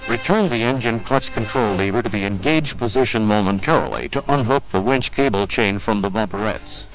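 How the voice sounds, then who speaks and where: A man's voice: fairly low pitch, fairly quick, poor audio. A man reading scripted instructional narration for a training film in a formal, methodical, step-by-step manner. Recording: 1980s training film soundtrack with music.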